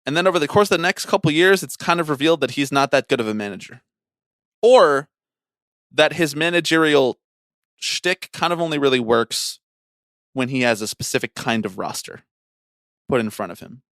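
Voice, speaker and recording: A man's voice: medium pitch, lively intonation, normal speed, pauses often, a bit bright, dry recording, clean studio sound. A man co-hosting a casual sports podcast, telling stories in a wry, opinionated way. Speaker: podcast co-host.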